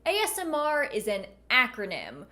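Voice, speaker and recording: Wry woman with a lively, higher voice. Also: moderate pace. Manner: wry, deadpan. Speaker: video essayist and host. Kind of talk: conversational commentary to camera.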